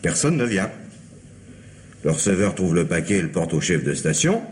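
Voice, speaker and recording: A flustered young male police officer, in an old mystery serial. His voice is very low but swoops about as he protests. A faint hiss sits on the recording. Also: sing-song, moderate pace. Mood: earnest reporting. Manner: protesting, stammering under pressure. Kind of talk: old French radio mystery drama acting.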